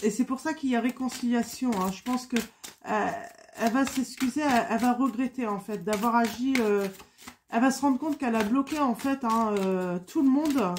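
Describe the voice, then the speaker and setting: A woman's voice: fairly high pitch, normal speed, noisy background. A woman with a cold, giving a casual spoken tarot reading with many fillers and repetitions. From France. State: has a cold. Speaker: tarot card reader.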